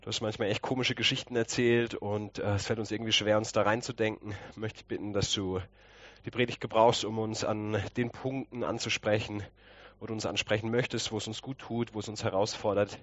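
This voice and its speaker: A man's voice: fairly low pitch, flat delivery, a little fast, a bit bright, no room sound, muffled recording. Male preacher, a touch congested from a cold. Conversational, explanatory delivery with rhetorical questions, recorded dry. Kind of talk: conversational sermon.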